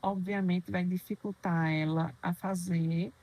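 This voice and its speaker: A woman's voice: fairly low pitch, steady tone, measured pace, darkish tone, poor audio. A woman lecturing on children's dentistry, explaining terms patiently and asking questions she then answers herself. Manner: didactic, poses questions and answers them, frequent "né?".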